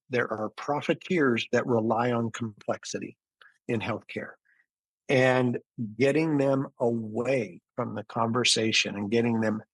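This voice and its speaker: A man's voice: medium pitch, husky, no echo, low-quality recording. A man giving explanatory, conversational answers as an interview guest, often restarting his phrases. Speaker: interview guest, physician and business founder.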